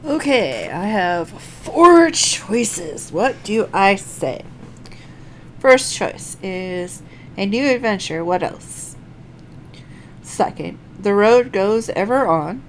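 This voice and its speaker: Slow-paced woman streaming a game. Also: medium pitch, big pitch swings, poor audio. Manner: halting, thinks aloud. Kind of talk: live game commentary, reading on-screen text aloud. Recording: faint background noise.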